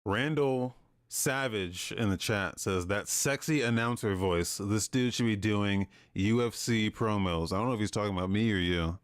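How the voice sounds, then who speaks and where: Smooth-voiced young guy bantering on a podcast. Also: fairly low pitch, normal speed, no room sound, clean studio sound. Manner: laid-back banter, says "dude" and "bro" a lot. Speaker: podcast co-host. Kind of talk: casual chat.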